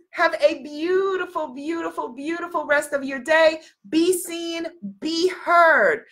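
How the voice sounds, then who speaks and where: A woman's voice: on the high side, a bit bright. A woman hosting a live stream as a spiritual coach, reading out viewer comments and leading affirmations while sniffling from a cold. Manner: encouraging, repeats affirmations, reads out viewer comments. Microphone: live video stream.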